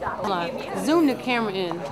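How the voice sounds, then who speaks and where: A woman's voice: mid-range voice, expressive, normal speed. A young woman talking in a slangy, boastful way in a casual on-camera interview. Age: young adult. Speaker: music video performer. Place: large indoor party venue video set. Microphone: handheld camera close by. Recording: loud crowd chatter behind.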